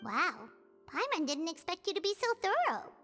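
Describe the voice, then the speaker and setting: A woman voicing a tiny, excitable, childlike fairy companion in a video game, with a squeaky, chirpy delivery. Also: normal speed, exaggerated voice. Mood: surprised. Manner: chirpy, speaks of herself in third person. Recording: loud music under the voice.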